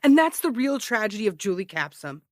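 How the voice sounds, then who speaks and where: A woman's voice: mid-range voice, very animated, fairly quick, no echo, clean studio sound, natural voice. A woman playing an obsessive, defensive ex-cop who hosts a true-crime podcast, telling it conversationally with wry emphasis. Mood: defensive, bitter. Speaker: true-crime podcast host, former police officer. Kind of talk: conversational scripted narration in an audio drama. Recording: music behind the voice. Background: music.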